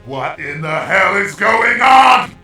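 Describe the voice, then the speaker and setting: Man voicing evil robot master, heavily echoed, gravelly, commanding, outraged, music behind. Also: sits high, very animated, slow, bright, forceful tone, heavily acted. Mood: angry. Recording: echoing, distant, music behind.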